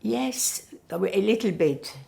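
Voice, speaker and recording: An older woman whose first language is Yiddish, recalling her family in an oral history interview, pausing often to search for words. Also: mid-range voice, animated, gravelly, warm tone. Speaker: Holocaust survivor giving testimony.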